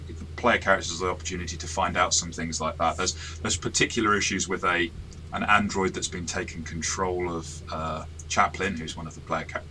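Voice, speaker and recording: Man with a low, bright voice, game master talking through a module, chatty and self-deprecating, faint background hiss. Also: steady tone, fairly quick, low-quality recording. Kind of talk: casual review chat.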